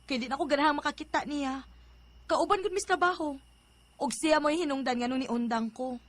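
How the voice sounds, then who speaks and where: Actress as a high-voiced, plaintive young Filipina. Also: female voice, moderate pace, close to an everyday voice. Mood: reluctant, plaintive. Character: heartbroken young wedding planner, stubborn but affectionate daughter. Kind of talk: Philippine radio drama acting.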